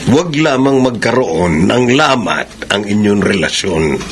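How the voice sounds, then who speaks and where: A man's voice: fairly low pitch, animated, unhurried. A man acting a generous, reassuring middle-aged father in a Filipino radio soap, earnest in manner. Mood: kindly, earnest. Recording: radio drama, faint background noise.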